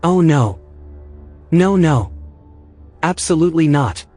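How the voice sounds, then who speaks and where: Fussy, put-upon male AI, higher-pitched. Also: lively intonation, slow, dark tone. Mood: reluctant. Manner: fussy, sardonic, exasperated. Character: fussy, reluctant male artificial intelligence, anxious and put-upon. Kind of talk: audio drama acting. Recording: music behind the voice.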